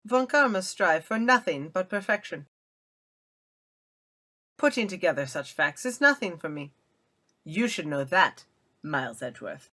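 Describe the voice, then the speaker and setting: A woman's voice: on the low side, sing-song, a little slow, long pauses, sharp tone, heavily acted. A woman playing a haughty, scornful, perfectionist young prosecutor in a game fandub, with an imperious manner. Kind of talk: anime game fandub acting.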